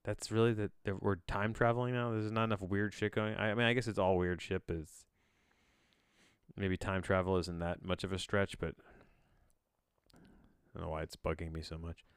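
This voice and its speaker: A man's voice: sits low, fairly even intonation, moderate pace, pauses often, clear, resonant tone, no room sound, clean studio sound. A man hosting a podcast, reading aloud with casual, rambling commentary and incredulous, sardonic asides. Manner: casual swearing. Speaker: podcast host. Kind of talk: casual solo commentary while reading a plot synopsis aloud.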